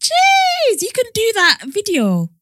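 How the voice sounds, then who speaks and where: A woman's voice: extremely high pitch, wildly swooping pitch, slow pace, noisy background. A woman in her twenties co-hosting a podcast, teasing and reacting playfully. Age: 20s. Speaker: podcast co-host. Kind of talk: casual chat.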